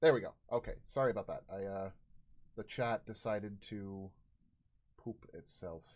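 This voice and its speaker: A man's voice: lowish voice, normal speed, a little mellow. A man in his thirties chatting casually as a livestream host, hesitant and apologetic in manner. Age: 30s.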